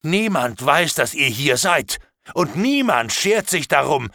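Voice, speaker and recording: An enraged young captor, as the narrator acts him out barks threats in a raised, loud voice. His pitch climbs above the narrator's normal tone. The sound is close and dry. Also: sing-song, slow, vocal fry, no room sound, exaggerated voice. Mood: furious. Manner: shouting, threatening. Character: furious young male captor, menacing. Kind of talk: audiobook reading.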